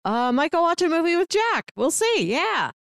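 A woman's voice: very high voice, big pitch swings, moderate pace, a bit bright, no room sound. Chatty woman cohosting a movie podcast, says like a lot, dry close sound. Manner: friendly, chatty, uses "like" often. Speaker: podcast co-host. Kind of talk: casual chat.

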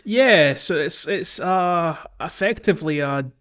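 Chatty man, slightly high voice, muffled audio. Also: unhurried, fairly bright tone. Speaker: podcast host. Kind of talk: casual chat about a video game.

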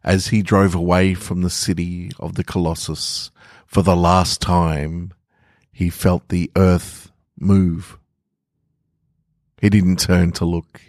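A man works as a podcast host and story reader. His voice is on the low side and his delivery is unhurried and slow. The sound is dry, with little room in it. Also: level tone, a little mellow, no echo. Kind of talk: scripted story narration.

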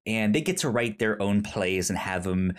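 A podcast co-host in casual film discussion, speaking haltingly and often stammering on repeated syllables. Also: deep voice, expressive.

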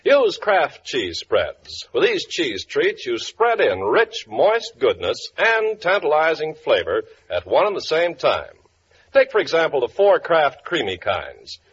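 A man's voice: on the high side, huge pitch swings, measured pace, a bit bright. A man reading a 1940s radio commercial as an announcer, with emphatic, enthusiastic salesmanship. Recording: dull, faintly noisy vintage radio broadcast.

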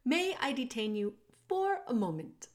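Lady doing a sly lil rat villain, whispery and smooth, slow. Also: a little high, very animated, pitched above the performer's own voice.

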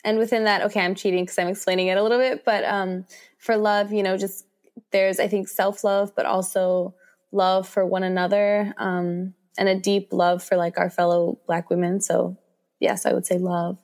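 An American woman speaks as an author in an interview. Her pitch is middling for a woman. She answers conversationally and reflectively, working out her thoughts aloud. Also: level tone, normal speed, darkish tone. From Washington DC, United States.

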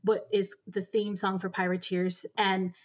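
A woman's voice: medium pitch, little pitch movement, measured pace, highs cut off. A woman guest talking at length about making audio dramas, earnest and enthusiastic in her long, running answers. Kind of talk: conversational interview answers.

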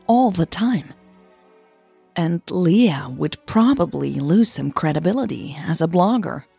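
A woman podcast host delivering a scripted narration in a measured, dramatic storytelling manner. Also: fairly low pitch, creaky, darkish tone, slightly rough.

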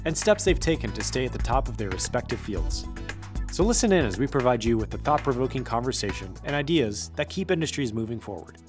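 Male announcer, mid pitch, scripted intro read over a clear music bed. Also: sing-song, a little fast.

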